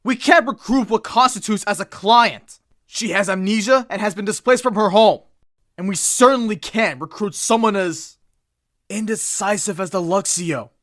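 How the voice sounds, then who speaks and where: A man's voice: high-pitched, moderate pace, fairly bright tone, dry recording, studio quality. A man playing a stern, formal bird assistant. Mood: exasperated. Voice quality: formal, clipped and lecturing. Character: strict formal male bird guild assistant, proud and stern. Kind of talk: fan comic dub acting.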